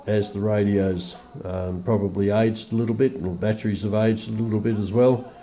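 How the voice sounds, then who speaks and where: A man's voice: on the low side, steady tone, normal speed, dark tone. A man presenting a casual, unscripted product walkthrough in a hesitant, matter-of-fact way. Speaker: presenter of a radio review video.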